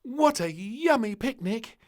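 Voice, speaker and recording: A man voicing an excitable, bossy little princess in a storybook read-aloud, with high squeals and bright exclamations. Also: takes it slowly, heavily acted. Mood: gleeful.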